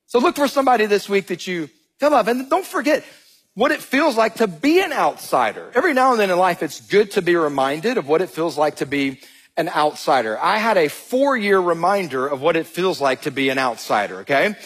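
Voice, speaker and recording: A man from Georgia in his thirties with a Southern accent, a pastor preaching a sermon and telling animated, joking stories to the congregation. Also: high-pitched, brisk, slightly rough. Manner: animated, jokey storytelling, addresses crowd as y'all. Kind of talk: sermon with storytelling.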